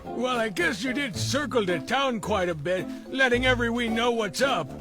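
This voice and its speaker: A fawning sidekick to a cartoon villain king. His voice is extremely high, nervous and hesitant, with music clearly behind it. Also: lively intonation, moderate pace. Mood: fawning, eager. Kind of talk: animated cartoon voice acting.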